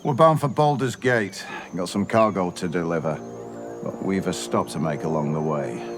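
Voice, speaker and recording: Guy playing tired shady caravan smuggler, game dialogue, lowish and conspiratorial, music behind. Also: moderate pace, lightly acted. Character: weary roguish caravan smuggler, grateful survivor. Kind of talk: video game voice acting.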